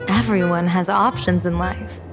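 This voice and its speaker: A woman voicing an enigmatic, composed general and diviner in a video game, speaking in measured, formal, oracular phrasing. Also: fairly low pitch, fairly even intonation, lightly acted. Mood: musing. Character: enigmatic, composed female general and fortune-teller of fate. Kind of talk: scripted video game voice acting. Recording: music under the voice.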